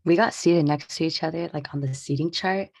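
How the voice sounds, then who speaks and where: An eighteen-year-old woman with a low voice talks in a dry, teasing way on a casual podcast. The sound is close and dry. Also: a little fast, no room sound. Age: young adult. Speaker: co-host. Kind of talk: casual storytelling.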